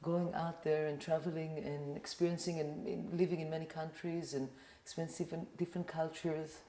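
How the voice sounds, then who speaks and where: A middle-aged Israeli woman talks loosely as a podcast guest, with a low, mellow voice. Her sentences wander, full of "like" and "you know". The room around her sounds echoey. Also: level tone, fairly quick. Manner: rambling, with many "like" and "you know" fillers. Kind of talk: casual interview chat.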